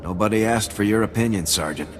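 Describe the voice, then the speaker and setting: A hardened game soldier acted by a man, ruthless and blunt. He talks in a lowish, cold voice with long reverb, music playing behind him. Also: quick pace, close to an everyday voice. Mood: curt, ruthless. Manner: curt, cold, tough. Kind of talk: video game cutscene acting.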